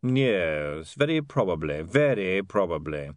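Man putting on a blustering, slow-witted pompous lord. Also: sits low, sing-song, dry recording, studio quality. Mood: blustering, flustered. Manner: halting, testy, repetitive. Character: pompous, easily baffled, blustering older lord.

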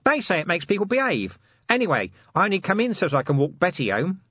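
A man's voice: high voice, wildly swooping pitch, fast, bright, forceful tone, husky, dry recording, dull audio, pitched above the performer's own voice, exaggerated voice. A man voicing a cheeky, seedy, eager male corporal of the city watch in an audiobook, chatty and matter-of-fact. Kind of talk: audiobook character acting.

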